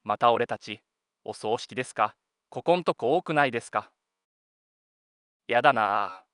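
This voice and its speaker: Junior male detective, acted by a man: sharp, bright mid-range voice, polite grumbler and straight man in a crime drama. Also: mid-range voice, lively intonation, moderate pace, no room sound, clean studio sound, close to an everyday voice. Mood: grumbling, puzzled. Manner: polite but complaining to his senior. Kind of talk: scripted detective audio drama.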